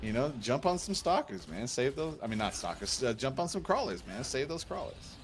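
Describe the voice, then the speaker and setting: A man's voice: medium pitch, big pitch swings, a little fast, slight background noise. A man commentating a video game tournament on a casual livestream, breaking down the play with dry joking asides.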